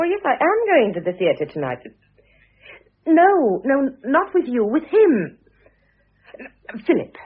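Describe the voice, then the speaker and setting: An actress playing a composed middle-aged ex-wife and mother in a radio drama, gentle, wry and affectionately teasing. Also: mid-range voice, slightly rough, exaggerated voice. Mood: lively, concerned. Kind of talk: classic British radio drama acting. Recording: muffled phone-like old radio recording.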